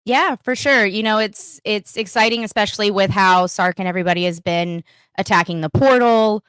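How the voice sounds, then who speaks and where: A woman hosting a casual sports talk show, upbeat and excitable. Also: medium pitch, clean tone, no room sound. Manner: upbeat, hyped, quick to laugh. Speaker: podcast co-host.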